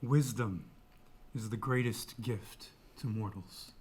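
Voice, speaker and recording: A man playing a sober, questioning chorus elder with a husky voice, speaking in a measured way in a dramatic reading of a Greek tragedy. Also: lowish voice, darkish tone. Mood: solemn judgment.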